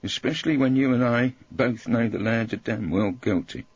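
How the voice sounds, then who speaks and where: A man voicing a gloomy, grudging grey-haired police inspector who talks in a slow, stolid grumble. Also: mid-range voice, breathy edge, muffled recording, voice pushed up. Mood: grumbling.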